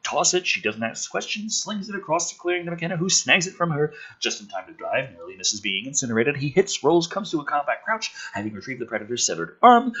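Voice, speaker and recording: Male script reader and commentator, bright, forceful and very animated, sardonic edge, slightly husky. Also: mid-range voice, lo-fi sound. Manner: sardonic, emphatic, profane. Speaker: host reading a film script aloud with his own commentary. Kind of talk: dramatic script reading with sarcastic asides.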